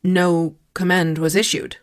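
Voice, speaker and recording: A woman's voice: lowish voice, level tone, measured pace. A woman playing a precise, obliging computer program in an audio drama, reporting in a formal, methodical, robotic way. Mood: precise, factual. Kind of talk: scripted audio drama acting.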